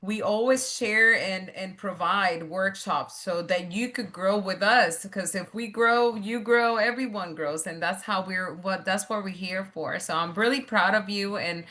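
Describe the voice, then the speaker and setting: Bright-voiced woman host. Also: mid-range voice, measured pace, few pauses. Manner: encouraging, upbeat. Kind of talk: hosting a video call networking event. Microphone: video call.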